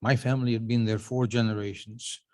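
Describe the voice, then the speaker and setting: A man in his seventies from Uganda giving a prepared acceptance speech, telling his story in a measured way with dry, self-deprecating humor. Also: mid-range voice, level tone, dark tone. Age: 70s. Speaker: award honoree giving a speech.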